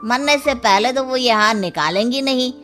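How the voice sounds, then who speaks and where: A woman's voice: a little high, moderate pace, over loud music, natural voice. A woman storyteller playing a selfish, impatient grown son with a grumbling, offhand manner. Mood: curt. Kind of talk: storybook character acting.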